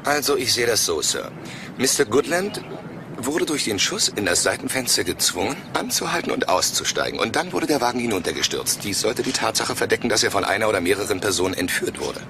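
Eager by-the-book police sergeant, lowish voice, formal and deferential, crime radio play, noisy outdoor sound. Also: male voice, sing-song, brisk, gravelly. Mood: officious. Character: eager, overly correct male police sergeant. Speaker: detective sergeant. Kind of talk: German crime audio drama dubbing.

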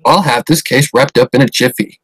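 A man hosts a gameplay livestream at a mid-range pitch, talking over the game in a loose, giggly way. His voice sounds dry and close to the mic, with no room around it. He comments on each line of on-screen dialogue as it comes up. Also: fairly quick, a bit bright, breathy edge, no echo. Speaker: livestream host playing a game.

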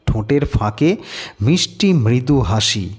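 A man narrating a scripted horror story in a dramatic, measured, suspenseful way. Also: mid-range voice, expressive, breathy edge, soft background music.

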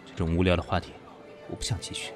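A man's voice: deep voice, completely flat delivery, fast talker, music in the background, lightly acted. A man acting a guarded, proud businessman in an audio drama, with a cool, restrained, businesslike manner. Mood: cool, evasive. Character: guarded, proud businessman facing a former lover.

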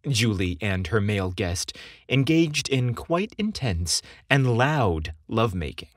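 A man hosting and narrating a scripted story podcast in a theatrical, expressive storytelling style. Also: fairly low pitch, unhurried, no echo, clean studio sound. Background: music behind the voice.

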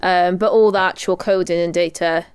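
A woman's voice: lowish voice, steady tone, normal speed, clean tone, no room sound, studio quality. A young woman with a Welsh accent chatting casually as a podcast co-host. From Wales. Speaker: podcast co-host and astrophysics researcher. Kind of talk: casual chat.

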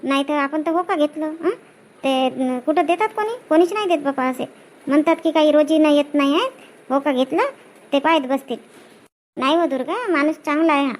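Woman acting, cartoon farm labourer woman, extremely high pitch, talkative village manner. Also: a little fast, lightly acted. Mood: chatty. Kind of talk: cartoon comedy dialogue.

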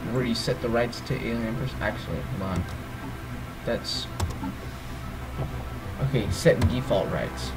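A man's voice: mid-range voice, low-quality recording. Groggy man rambling through a game. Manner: rambling, hesitant, full of ums and self-corrections. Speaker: let's play gamer. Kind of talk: casual gameplay walkthrough.

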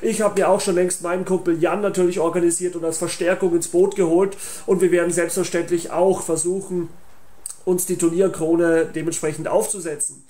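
Young guy with a high voice doing an informal promo announcement for his channel. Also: level tone, a little fast, warm tone. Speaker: YouTube channel host and tournament organiser.

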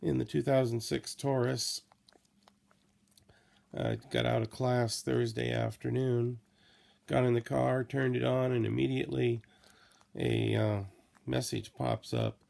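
Middle-aged man, hoarse and worn out, gravelly fry at a middling pitch, slow rambling vlog talk. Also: darkish tone. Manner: slow, tired, rambling with many fillers. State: hoarse, coughing. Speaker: vlogger. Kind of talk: late-night personal video diary. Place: small room indoors at night. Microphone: laptop or phone camera close to face.

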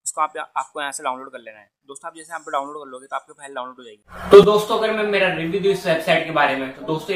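A man's voice: a little high, fairly bright tone, poor audio. A young man presenting a tech tutorial as an online video host. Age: young adult. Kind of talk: tech tutorial to camera and screen.

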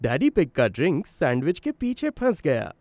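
A woman as the cheerful storyteller of a preschool cartoon, reading scripted narration with expressive delivery. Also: deep voice, moderate pace, very noisy. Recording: music behind the voice.

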